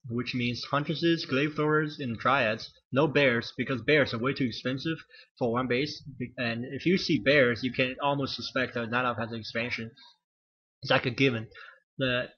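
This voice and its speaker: A man's voice: medium pitch, fairly even intonation, normal speed, slightly rough, highs cut off. A man giving solo play-by-play commentary on a strategy game, losing his voice and often correcting himself with fillers. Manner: rambling, self-correcting, frequent fillers.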